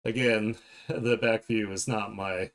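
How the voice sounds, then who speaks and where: A man's voice: on the low side, fairly even intonation, slow. A man chatting casually on a livestream as he draws, thinking aloud in a playful, self-deprecating way. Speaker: streamer and illustrator. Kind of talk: casual livestream chat while drawing.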